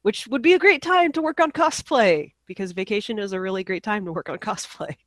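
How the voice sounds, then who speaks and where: A woman's voice: mid-range voice, animated, fairly quick, a bit bright. A woman chats casually as a podcast co-host, her nose stuffed up from a cold. She sounds apologetic and self-deprecating and laughs at herself often. The sound is dry and close, with no room echo. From United States. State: congested from a cold. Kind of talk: casual chat.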